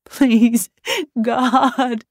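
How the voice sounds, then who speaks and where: A woman's voice: fairly high pitch, little pitch movement, drawn-out words, no echo, studio quality, pitched above the performer's own voice. A woman voicing a terrified mother who pleads and weeps, desperate for her husband and children. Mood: terrified pleading.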